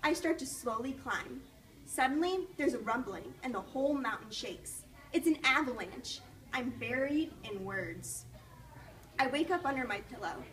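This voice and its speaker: A woman reading a children's storybook aloud in an expressive, encouraging way, pausing to ask questions, recorded from a distance. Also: high-pitched. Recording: distant mic with faint background noise.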